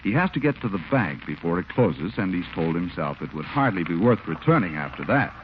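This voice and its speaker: A man's voice: deep voice, sing-song, a little fast, slight background noise. A man with an American accent, a polished, theatrical announcer narrating in scripted 1940s radio style. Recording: muffled 1940s radio with music. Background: music.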